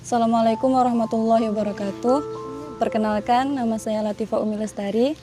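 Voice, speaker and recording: Flat, formal young woman. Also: on the high side, fast talker. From Sumatra, Indonesia. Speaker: graduating Quran memorization student. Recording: background music under speech.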